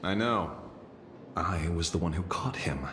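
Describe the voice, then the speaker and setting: Low, unhurried game detective. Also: male voice, expressive, background noise. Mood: pensive. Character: shrewd, composed male private detective. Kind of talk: video game acting. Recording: echoey, over music.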